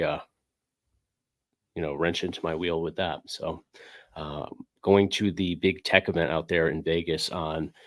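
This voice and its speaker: A man's voice: deep voice, no room sound, studio quality. A man hosting a casual livestream from his game room, talking loosely with lots of fillers while still getting over a cold. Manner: rambling, filler-heavy, reads chat aloud. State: recovering from a cold, sniffling. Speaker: livestream host. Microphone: desk microphone.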